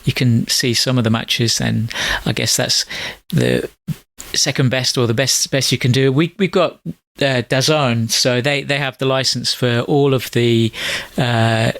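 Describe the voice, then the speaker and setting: A man's voice: medium pitch, fairly even intonation, unhurried, fairly bright tone, no echo. A middle-aged man hosting a podcast over a call, chatting casually in a rambling, hesitant way with many fillers. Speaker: podcast host. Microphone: call audio.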